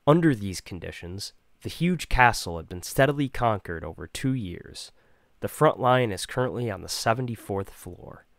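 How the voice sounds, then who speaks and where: Low-voiced man reading a scripted demo. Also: animated, moderate pace, creaky, dry recording, clean studio sound. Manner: self-deprecating. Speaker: amateur voice actor presenting a demo reel. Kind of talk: scripted narration and reading aloud.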